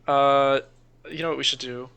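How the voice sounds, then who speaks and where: A man's voice: medium pitch, level tone, normal speed, sharp tone, clear, resonant tone, lo-fi sound. A man co-hosting a podcast in a casual, rambling, sweary chat, reacting to videos. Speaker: podcast host.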